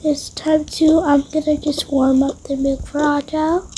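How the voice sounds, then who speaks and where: A high, mellow young female voice softly narrates a toy roleplay at an unhurried pace. She often slips toward a whisper, with faint noise in the home recording. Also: level tone. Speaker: roleplay video creator. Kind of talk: improvised toy-game roleplay storytelling.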